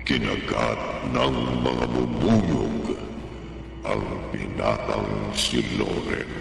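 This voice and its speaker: A man with an extremely deep, gravelly voice narrates a horror radio drama at a crawl. Heavy reverb swims around every word. Loud eerie music plays underneath. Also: animated, very slow, far from the mic, a little hiss or hum.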